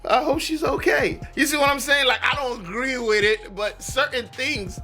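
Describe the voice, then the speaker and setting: A man's voice: very high-pitched, moderate pace, pushed and bright, faint music behind. A young man, chatting animatedly, laughing and swearing in a casual reaction commentary, his voice a bit worn. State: losing his voice. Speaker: reaction video host.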